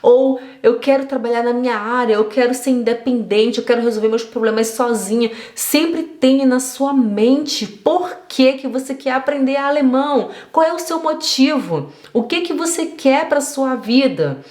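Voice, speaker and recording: A woman's voice: high voice, moderate pace, hardly any pauses, a little mellow. A woman teaching German online, talking straight to the viewer in an earnest, encouraging way. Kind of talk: motivational online lesson. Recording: echoey room.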